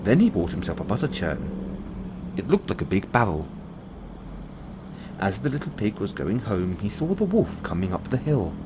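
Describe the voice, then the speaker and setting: A man reading bedtime fairy tales as an audio roleplay, in measured storybook narration. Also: on the low side, sing-song, background noise.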